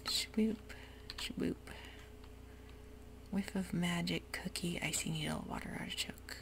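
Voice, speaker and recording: A woman whispering soft, hushed ASMR narration over gameplay at home, with frequent ums, as keyboard clicks sound behind her. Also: on the low side, slow pace. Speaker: ASMR gaming creator.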